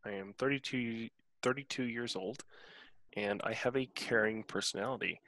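A man's voice: mid-range voice, normal speed, a bit bright, no echo, rough recording. A man in his thirties reading a first-person character introduction as a player in an online tabletop game. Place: indoors at home, online video call.

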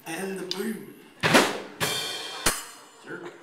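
A man's voice: sits high, steady tone, extremely slow. A young man giving a comedic dramatic reading to a live audience, drawing words out and repeating phrases with mock drama. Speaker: performer leading a comic reading on stage. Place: church stage, indoors. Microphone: handheld microphone through PA, filmed from the audience. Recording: roomy, distant audience camera recording. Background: loud live instrumental music.

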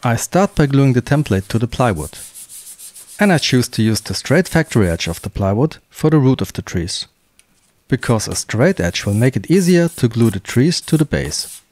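A male workshop host calmly narrating the steps of a woodworking project in a scripted voice-over, with a German accent. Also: mid-range voice, moderate pace, no echo. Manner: calm, plain step-by-step explaining.

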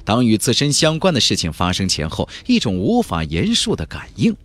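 Male audiobook narrator, very animated delivery with a husky edge, faint music underneath. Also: fairly low pitch, fairly quick. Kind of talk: dramatic scripted narration of a fantasy novel.

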